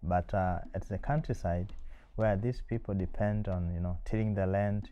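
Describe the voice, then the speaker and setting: Deep mellow Tanzanian-raised man. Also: fairly even intonation, dull audio. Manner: thoughtful, many filler pauses. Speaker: university lecturer and PhD candidate. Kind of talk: seated interview to camera. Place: small indoor room.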